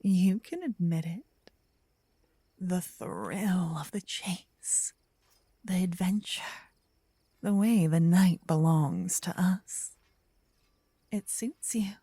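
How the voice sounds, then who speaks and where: A woman playing a gentle, possessive, lovestruck vampire in a soft, intimate, breathy ASMR whisper, close to the ear. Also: on the low side, dry recording, full character voice. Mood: teasing, possessive. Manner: intimate, slow, teasing. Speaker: ASMR roleplay performer. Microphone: close binaural ear-to-ear microphone.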